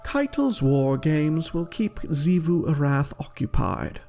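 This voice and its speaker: A man gives voice to a stoic fantasy queen in a storybook reading. The delivery is aloof and calculating, slightly gravelly, with light music beneath. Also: on the high side, big pitch swings, slow pace, warm tone, voice pushed up, exaggerated voice. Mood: cool resolve.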